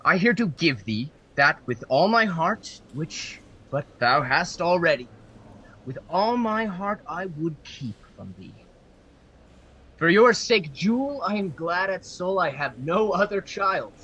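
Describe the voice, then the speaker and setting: A man playing a bitter, wounded nobleman father in a Shakespearean radio play, stern and deliberate, on a home video-call recording. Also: high-pitched, lively intonation, bright, forceful tone, husky, muffled recording, heavily acted. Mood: bitter, grieving. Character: bitter, wounded aristocratic father of a runaway bride.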